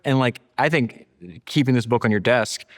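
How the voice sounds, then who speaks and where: A man's voice: medium pitch, normal speed. A man hosting an interview podcast, discussing a book emphatically and repeating phrases for stress. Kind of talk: animated book discussion, reading quotes aloud and commenting.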